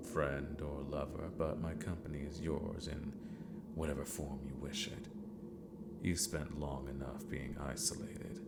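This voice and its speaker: A man playing a gentle, possibly mad villain mentor in a fantasy ASMR roleplay, speaking formally and theatrically, with scheming courtesy. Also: very noisy. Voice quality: deep, gravelly. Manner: slow, formal, theatrical, sometimes muttering to himself.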